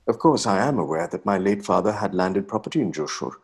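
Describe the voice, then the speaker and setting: A man acting as a young nineteenth-century Bengali poet with an Indian accent, slightly husky in voice. He reads his letters theatrically, self-dramatising and anxious, in ornate, exclamatory phrases from a stage play. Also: sits low, expressive, fast, full character voice. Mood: distressed, urgent.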